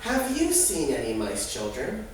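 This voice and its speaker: A man acting out a comic pantomime cook who calls to children in a loud, exaggerated questioning voice. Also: a little high, wildly swooping pitch, unhurried. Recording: very echoey room, distant mic, noisy.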